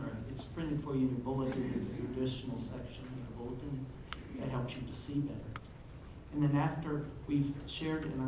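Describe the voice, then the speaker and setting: A man in his forties leading a communion prayer as pastor, earnest and measured, his phrases trailing off softly. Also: medium pitch, background noise. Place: church sanctuary with stage. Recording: echoey sanctuary heard from far off.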